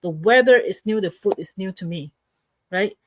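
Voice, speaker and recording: A woman's voice: medium pitch, moderate pace, slight background noise. A middle-aged woman with a Malaysian accent, chatting casually as a guest. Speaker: guest, stay-at-home wife. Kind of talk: casual self-introduction.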